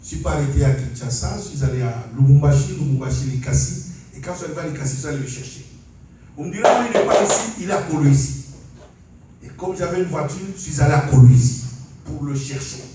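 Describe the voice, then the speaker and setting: A man's voice: fairly high pitch, fast talker, background noise. A man from Congo preaching a sermon and telling his testimony, calling on the congregation to say amen. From Congo (DRC). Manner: calls for amens, laughs while preaching. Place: large church hall. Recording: echoey hall from far off.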